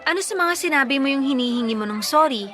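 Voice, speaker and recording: An actress playing a teasing but sensible young college sweetheart in a radio drama, pert and pressing. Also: female voice, high-pitched, lively intonation, fast talker, a bit bright, faint music behind. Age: young adult. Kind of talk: Tagalog radio drama acting.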